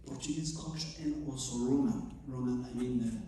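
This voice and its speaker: A choreographer from Morocco, answering audience questions after a show and searching for English words. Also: male voice, medium pitch, normal speed, warm tone, distant mic, a little hiss or hum. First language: not English. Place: theater stage. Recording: echoey theater heard from afar.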